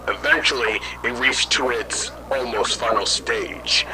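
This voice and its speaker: A man playing a guilt-ridden scientist in hiding, telling his story haltingly in an amateur horror audio drama. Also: mid-range voice, music in the background, close to an everyday voice, rough recording. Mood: dismayed, grave. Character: guilt-ridden fugitive scientist father recounting his failed experiment.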